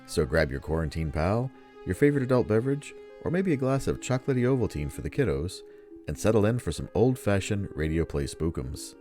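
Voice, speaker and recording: A man's voice: deep voice, big pitch swings, brisk, warm tone. A man announcing a radio play from a script in a showy, theatrical old-time style. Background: music.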